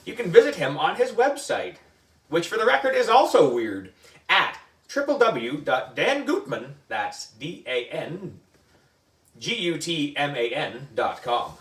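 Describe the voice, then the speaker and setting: Animated man reading aloud in an echoey room. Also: fairly high pitch, measured pace. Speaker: book reader for a children's book club. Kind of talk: animated children's story reading. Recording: echoey room, distant mic.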